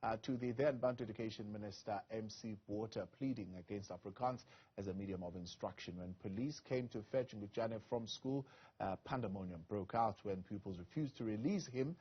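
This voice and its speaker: A man's voice: lowish voice, fairly quick, husky. A man anchoring an evening news interview, reading a scripted introduction with "uh" fillers, then questioning his guest. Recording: lo-fi broadcast audio.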